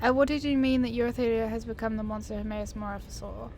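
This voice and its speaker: A woman's voice: on the high side, fairly even intonation, quick pace, a little hiss or hum. A woman giving casual, chatty commentary as she plays a video game, musing informally. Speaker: gamer, video creator. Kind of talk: casual let's play commentary. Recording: loud game sound behind voice.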